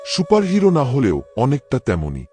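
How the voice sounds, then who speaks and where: A man's voice: mid-range voice, huge pitch swings, normal speed, mellow. A man playing a genial, respectful father and grandfather in a cartoon family drama, courteous and earnest. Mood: reassuring. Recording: music under the voice.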